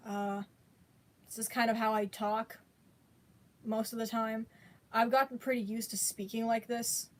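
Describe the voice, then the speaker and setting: A woman's voice: a little high, flat delivery, measured pace, pauses often. A woman chatting casually to her viewers, rambling with many fillers and restarts. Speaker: vlogger.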